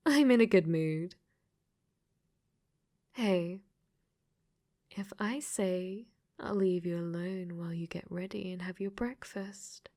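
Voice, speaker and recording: Woman doing a clingy yandere dating sim ai girl, slow soft asmr talk, teasing n coaxing, slips into whispers, possessive. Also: lowish voice. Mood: sweet, coaxing. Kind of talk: soft ASMR audio roleplay.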